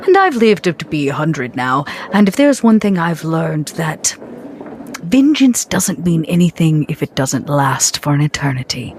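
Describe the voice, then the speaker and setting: A woman's voice: sits low, sing-song, a little slow, slight background noise, exaggerated voice. A woman playing a cold, regal, century-old vampire with a clipped, formal and imperious manner in a tabletop game. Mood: cool, controlled.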